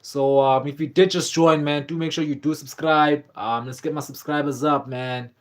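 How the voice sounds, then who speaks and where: A man's voice: a little high, steady tone, moderate pace. A young man from Africa, hosting a casual live stream and chatting loosely with viewers, with lots of fillers and repeated words. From South Africa. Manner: rambling, many fillers and repeats, reads chat aloud. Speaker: livestream host.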